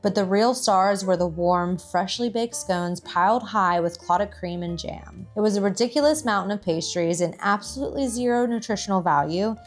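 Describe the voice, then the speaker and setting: A woman's voice: medium pitch, moderate pace, hardly any pauses, faint music behind. A woman narrating a travel vlog from a script as its host.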